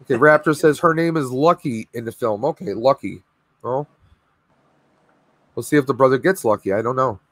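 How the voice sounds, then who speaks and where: A man in his thirties hosting a casual live stream from home, a bit hoarse and clearing his throat, talking on a video call in a rambling way. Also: mid-range voice, normal speed, pauses often, a bit bright, no echo. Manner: chatty, rambling with fillers. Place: home room with collectibles, indoors. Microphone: webcam video call.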